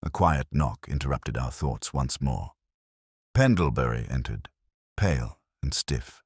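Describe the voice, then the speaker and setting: A man narrating a scripted first-person detective story in a measured, solemn, literary manner. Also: a bass voice, lively intonation, slightly rough, no echo, studio quality. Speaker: audiobook narrator.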